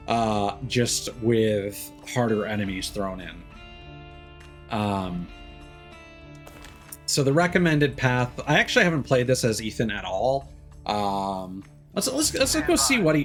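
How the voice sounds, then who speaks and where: A man giving casual live game commentary, rambling and thinking aloud with hesitant pauses. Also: medium pitch. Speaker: game streamer. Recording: game music behind the voice. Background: game music.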